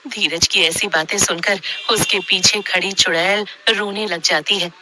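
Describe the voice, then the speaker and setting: A woman's voice: medium pitch, steady tone, a little slow, pushed and bright. A woman narrating a scripted horror story as a storyteller.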